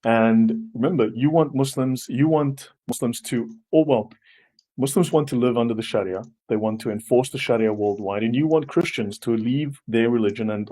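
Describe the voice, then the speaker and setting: A man hosting a live stream, talking forcefully and repeatedly challenging a viewer. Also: medium pitch, poor audio. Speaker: host. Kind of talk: combative livestream talk.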